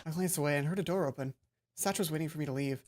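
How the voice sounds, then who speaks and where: A woman's voice: low voice, racing, darkish tone. A woman hosting a let's play, mixing casual, sweary commentary with reading a visual novel's narration aloud.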